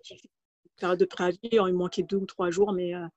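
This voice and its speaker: A woman's voice: lowish voice, level tone, fast talker, darkish tone, no echo. A woman in her thirties from the Paris region speaks as a guest on a video call. She talks hesitantly, with fillers and restarts. The sound is dull and lo-fi, and the room is dry. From France, Paris region. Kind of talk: casual interview, telling her career story.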